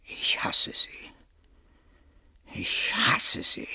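An actor playing a drunken, spiteful, leering man in a radio drama, mocking, with a very husky, creaky voice. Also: very slow, sharp tone, in a whisper, full character voice. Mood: hateful.

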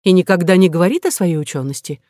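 A woman's voice: lowish voice, animated, quick pace, mellow, no room sound, studio quality, natural voice. A woman voicing a proud, hot-tempered young noblewoman who asks pointed questions in an audiobook novel. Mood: probing, sceptical.

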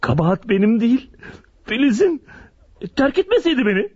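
An actor playing a deranged, self-pitying, lovesick killer in an anguished radio drama style. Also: male voice, very high-pitched, big pitch swings, normal speed, darkish tone, slightly rough, no echo, muffled recording, heavily acted. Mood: pleading, self-justifying. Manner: anguished, brooding monologue delivery. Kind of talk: Turkish radio drama acting.

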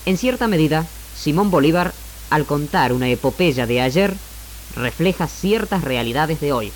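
A man presenting scripted, literary radio narration in a measured manner, in the style of the 1960s. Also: a little high, lively intonation, noisy background. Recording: archived 1960s AM radio recording. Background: music.

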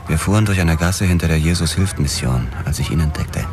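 A man's voice: rumbling low, brisk, music in the background. An actor playing a tough, grim cop who narrates his own story tersely in a noir radio drama. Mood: grim, matter-of-fact. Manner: terse, matter-of-fact.

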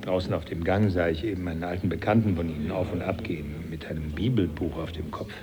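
An actor playing an older man, Darwin's fond, jovial old teacher, who talks warmly and chuckles. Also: low-pitched, fairly even intonation, fast, a crowd in the background, natural voice. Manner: warm-hearted, chuckling, confiding. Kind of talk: 1980s German radio play acting.

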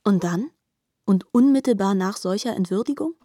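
An actress as a storyteller sharing the telling of a biblical tale in measured, understated radio drama narration. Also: female voice, medium pitch, lively intonation, dark tone, no room sound, natural voice. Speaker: second narrator.

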